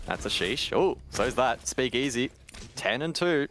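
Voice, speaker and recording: A man's voice: medium pitch, lively intonation, unhurried, a bit bright. A man giving live play-by-play commentary on an esports match in a conversational way. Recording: game noise, gunfire and clicks behind.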